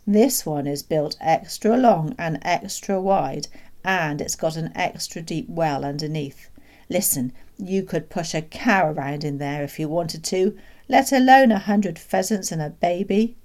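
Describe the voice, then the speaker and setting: A proud country father with a sly streak, voiced by a woman reading a storybook. He speaks cheerfully and confidingly, in a mellow tone with little edge. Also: lowish voice, a little slow, natural voice. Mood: happy, boastful. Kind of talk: children's storybook read aloud.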